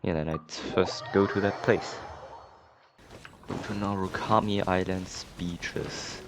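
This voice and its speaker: A man with a low-pitched voice reacts casually to a video game. He is sarcastic and incredulous, talking off the cuff over faint game music. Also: steady tone, slow, creaky. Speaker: gamer, playthrough commentator.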